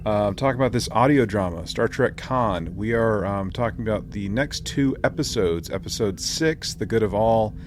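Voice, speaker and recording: A man with a fairly low voice hosts a casual podcast chat. He talks in a jokey, slangy, informal way, over clearly audible background noise. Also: normal speed, few pauses. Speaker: podcast host. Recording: clearly noisy room ambience.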